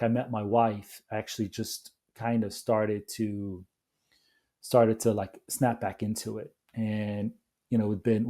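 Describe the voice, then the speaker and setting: A man's voice: fairly low pitch, warm tone. A man, talking casually as a podcast guest, rambling with lots of fillers and restarts. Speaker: podcast guest, coffee company founder. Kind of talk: casual interview chat.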